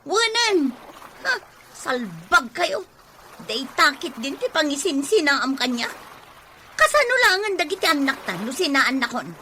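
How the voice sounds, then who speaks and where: A woman's voice: high-pitched, big pitch swings, a little slow, pushed and bright, slight background noise, full character voice. A woman playing a betrayed, suspicious wife in an Ilocano radio drama, emotional, accusing and pleading. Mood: angry, accusing. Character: betrayed wife, suspicious, wounded, confronting husband and mistress.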